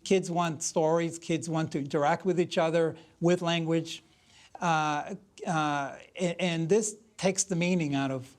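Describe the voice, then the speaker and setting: Halting school board member, medium-pitched voice. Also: steady tone. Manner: halting, searching for words. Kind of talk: interview answers.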